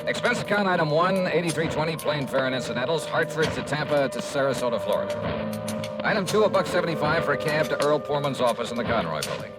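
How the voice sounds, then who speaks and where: An actor playing a confident, wry American insurance investigator in a 1950s radio detective drama, with a brisk, punchy delivery. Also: male voice, on the high side, lively intonation, natural voice, poor audio. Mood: crisp narration. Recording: vintage radio recording with music.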